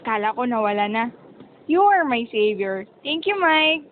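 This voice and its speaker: A brisk young Filipino career woman, played by an amateur actress has a high voice in a romance radio drama, bossy yet coy. The sound is tinny, like a phone, with faint noise. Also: expressive. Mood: sweet, coy. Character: brisk young professional woman, bossy, sweet to her crush.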